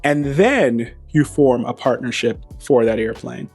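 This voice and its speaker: Host, male, slightly hoarse voice, wry and playful delivery, mid pitch, small clip-on mic in a hangar, faint music under him. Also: measured pace, a little mellow. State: losing his voice, slightly hoarse. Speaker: aviation channel host. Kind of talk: casual monologue to camera. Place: beside a plane in a hangar. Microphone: small wireless mic.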